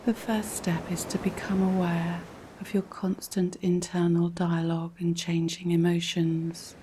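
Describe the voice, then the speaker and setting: A woman reading a scripted guided relaxation in a calm, measured, instructional way, with a soft, breathy voice. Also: lowish voice, a little hiss or hum. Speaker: guided meditation narrator.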